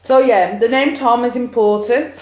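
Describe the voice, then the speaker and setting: A woman as a psychic medium, chatting excitedly through a live-streamed card reading on her phone. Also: a little high, moderate pace. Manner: chatty, excitable, cheering on share counts. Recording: echoey room, phone mic.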